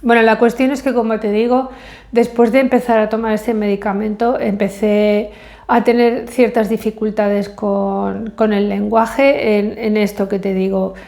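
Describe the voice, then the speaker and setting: A woman's voice: medium pitch, level tone, measured pace, gravelly, darkish tone. A middle-aged woman, English her first language, talking to the camera in a casual personal monologue, hesitant and full of fillers and self-corrections. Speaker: vlogger.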